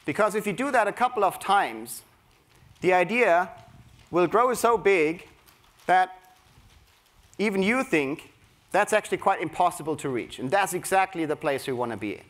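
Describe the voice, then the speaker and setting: High-voiced German man leading a workshop. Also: moderate pace, long pauses. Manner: energetic, coaching the audience through an exercise. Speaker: workshop speaker. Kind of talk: interactive keynote talk.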